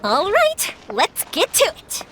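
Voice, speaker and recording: Casting: upbeat young woman for anime game; high voice, lively swings, curious, chatty, easygoing. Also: takes it slowly, background noise, full character voice. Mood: curious, eager. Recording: music behind the voice.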